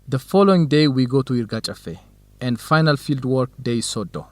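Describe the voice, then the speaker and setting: An actor playing a kind, modest young researcher in an educational radio drama, speaking in measured, simple phrases. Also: male voice, mid-range voice, lively intonation, no echo, natural voice. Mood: informative.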